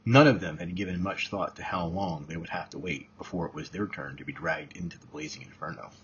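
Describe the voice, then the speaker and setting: A man with a husky voice reading his own novel aloud as scripted narration. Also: low voice, brisk, slight background noise. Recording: dull, muffled lo-fi recording.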